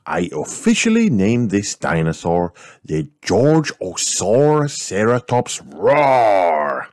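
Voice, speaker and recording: A cheerful male rabbit speaks in a formal, announcing way, read by a man. The voice is low, lilting and slow, and the room is dry. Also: big pitch swings, a little mellow, no echo. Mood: proud. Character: cheerful grown male rabbit, proud expert.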